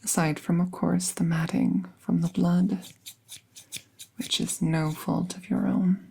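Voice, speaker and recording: Gentle fae vet, played by a woman, ASMR roleplay, breathy and whispery, soft dark tone, creaky edge, soothing, formal wording. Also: lowish voice, flat delivery, slow, full character voice. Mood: gentle, wry. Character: gentle, playful fae woman veterinarian tending a wounded werewolf. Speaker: audio roleplay performer.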